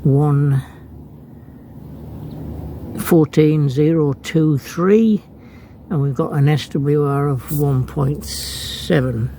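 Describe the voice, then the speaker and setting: Slow, creaky-voiced man thinking aloud. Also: a little high, mellow, slight background noise. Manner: hesitant, thinking aloud as he works. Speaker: amateur radio hobbyist demonstrating his setup. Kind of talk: unscripted how-to vlog narration.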